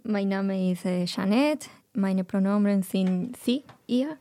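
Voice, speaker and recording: Slow-speaking Argentine woman guest, hesitant German. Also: medium pitch, dark tone, no echo. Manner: hesitant non-native German, slips into English words. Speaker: guest, former au pair.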